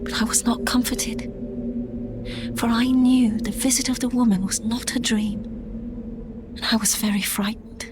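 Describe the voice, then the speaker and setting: An actress playing a sheltered, impressionable young gentlewoman in a gothic audio drama, earnest and well-spoken. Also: a little high, steady tone, a little slow, pauses often, lightly acted. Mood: haunted, recollecting. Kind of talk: gothic period audio drama, narration and dialogue. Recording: clearly audible background noise and ambience.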